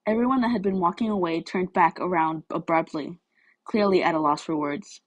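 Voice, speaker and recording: A woman tells a piece of fan fiction aloud. Her voice sits a little low for a woman, and she reads as an expressive amateur storyteller. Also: brisk.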